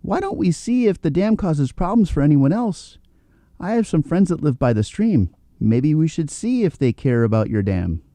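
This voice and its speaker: A man voicing a kind, curious young male rabbit in a children's storybook, with a gentle, earnest manner. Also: on the high side, very animated, moderate pace, no echo, pitched above the performer's own voice.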